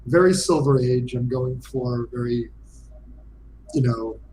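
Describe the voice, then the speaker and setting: A man giving a casual interview as a game designer guest, speaking hesitantly with frequent pauses. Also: mid-range voice, darkish tone, a little hiss or hum, low-quality recording.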